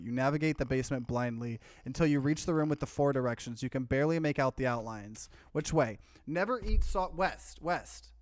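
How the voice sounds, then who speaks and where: Higher-voiced man doing a let's play. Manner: mixes plain narration with offhand asides. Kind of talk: casual let's play, reading game text aloud with commentary.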